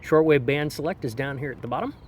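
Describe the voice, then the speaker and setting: A man talks through a product hands-on in a casual, explanatory style. His pitch sits in a middle range for a man. He is recorded outside on a deck, with light ambient noise behind him. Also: fast. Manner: informal, explanatory. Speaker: product reviewer. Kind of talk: casual hands-on gadget review. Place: outdoors on a back deck. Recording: outdoor background noise.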